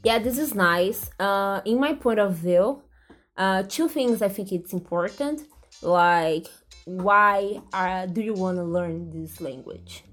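A woman's voice: medium pitch, lively intonation, takes it slowly, a bit bright, faint music behind. A young Brazilian woman with a Brazilian Portuguese accent, a podcast guest speaking English hesitantly and searching for words.

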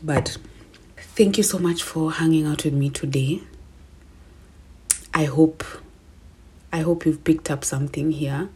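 A woman in her twenties with a Kenyan accent and a deep voice, hosting a casual solo podcast in a chatty, self-affirming way and laughing at herself. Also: steady tone, unhurried, pauses often, darkish tone, slightly rough. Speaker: podcast host.

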